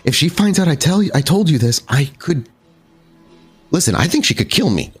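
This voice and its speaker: A man voicing a worried, loyal young woman in a tabletop roleplay, halting and blunt, exasperated but affectionate. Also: fairly high pitch, sing-song, slightly rough, soft background music, voice pushed up. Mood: nervous, confiding.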